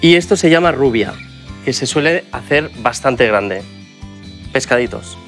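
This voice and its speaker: A man's voice: mid-range voice, animated, a little slow, a bit bright, faint music behind. A middle-aged man with a Spanish accent, a chef hosting a TV cooking show, explaining ingredients to viewers in a studio kitchen. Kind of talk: TV cooking demonstration.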